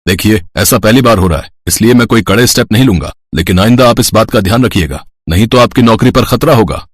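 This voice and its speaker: A man playing a stern, middle-aged school principal in a story, husky, officious and admonishing. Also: lowish voice, fast talker, no room sound, studio quality, natural voice.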